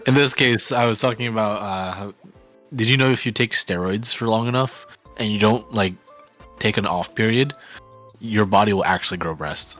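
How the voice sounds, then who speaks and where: A man with a very deep voice chats as a guest on a casual streamed podcast over a desk mic. He teases dryly and explains things in quick, confident bursts, with faint music running underneath. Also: fairly bright tone. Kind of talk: casual chat.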